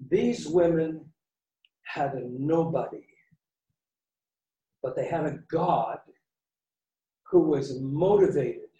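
A Canadian man delivers a sermon slowly, with dramatic pauses and repeated phrases for emphasis. His soft, mellow voice is picked up from a distance in an echoey room. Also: fairly high pitch. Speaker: preacher.

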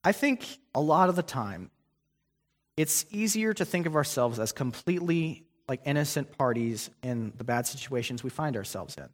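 A young male guest preacher talks through his sermon in a relaxed, conversational way, pausing on fillers and restarting sentences. His voice sits at an ordinary middle pitch. A long reverb trails each phrase from the church hall. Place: church sanctuary.

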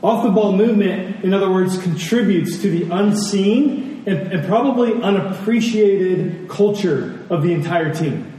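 In a very reverberant hall, picked up from a distance, a man delivers a sermon. His voice is fairly high and earnest. He teaches conversationally, repeating key phrases and posing questions to his listeners. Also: unhurried, hardly any pauses, dark tone. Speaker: preacher. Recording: very echoey hall, distant mic, faint noise.

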